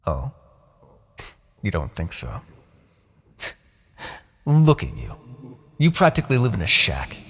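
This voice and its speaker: Man voicing a low, husky, smug demon king. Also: wildly swooping pitch, heavily acted. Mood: mocking, condescending. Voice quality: gravelly, breathy. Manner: theatrical, taunting, drawn-out phrasing with soft asides. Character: smug, seductive male Demon King, mocking and possessive. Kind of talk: ASMR fantasy roleplay.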